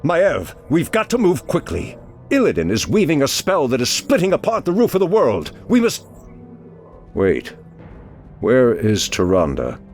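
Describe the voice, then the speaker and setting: An actor playing an anguished, noble archdruid in a fantasy game, gravelly, solemn and commanding, with theatrical emphasis. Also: male voice, mid-range voice, wildly swooping pitch, a little slow, a little mellow, soft background music. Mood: urgent. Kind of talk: dramatic game cutscene acting.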